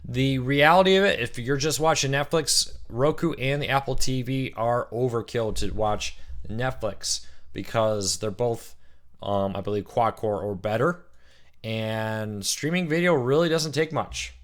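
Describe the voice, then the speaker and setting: A man with a slightly rough, worn voice after a weekend of cheering, hosting a relaxed live-stream Q&A. He chats easily, greets viewers by name and works through their questions one by one. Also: medium pitch, a little slow. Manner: chatty, reads out viewer comments and greets them by name.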